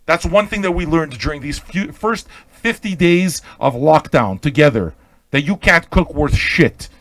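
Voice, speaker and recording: Brash higher-pitched man ranting on radio. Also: expressive, measured pace, fairly bright tone, dry recording. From Montreal, Quebec. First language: Italian. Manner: brash, profane, combative; emphatic, repeats phrases and checks in with "okay?". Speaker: online pirate radio host. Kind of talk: off-the-cuff ranting monologue.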